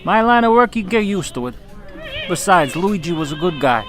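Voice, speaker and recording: A blunt mob bodyguard, acted by a man who sounds unfazed by anything. His voice sits fairly high, with faint music behind the radio drama dialogue. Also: very animated, normal speed.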